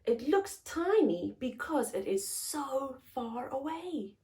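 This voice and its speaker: A woman's voice: high-pitched, very animated, slow, dark tone. A woman hosting a story time, reading aloud expressively to young children in simple phrases and putting questions to them.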